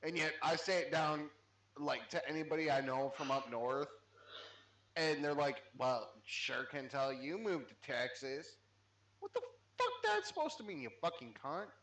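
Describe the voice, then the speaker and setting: A man's voice: on the high side, expressive, unhurried, a bit bright. A man chatting casually at a tabletop game, folksy and free with swearing. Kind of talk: casual chat. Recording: roomy, echoing, faint background noise.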